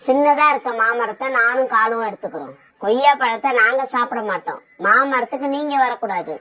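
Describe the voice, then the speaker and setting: A woman's voice: fairly high pitch, lively intonation, brisk, bright, forceful tone. A woman voicing a bossy female bird, a wife and mother, in a cartoon, talking in a scolding, commanding way. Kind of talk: cartoon voice acting.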